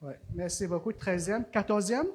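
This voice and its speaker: A woman's voice: medium pitch, normal speed, a little mellow, breathy edge. A woman hosting a church gathering, calling on people one by one with short acknowledgments. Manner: brief acknowledgments, frequent ok and laughs. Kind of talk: casual roll call of greetings. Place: church service.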